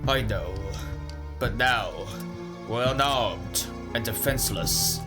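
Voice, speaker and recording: A man's voice: mid-range voice, slow pace, music in the background, close to an everyday voice. A man voicing a resolute robotic warrior leader in a fan-dubbed cartoon, grave and declarative.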